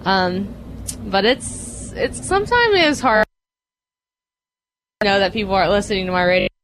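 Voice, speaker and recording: A woman's voice: mid-range voice, big pitch swings, moderate pace, fairly bright tone, rough recording. A young woman, a race car driver, giving casual, conversational interview answers. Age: young adult.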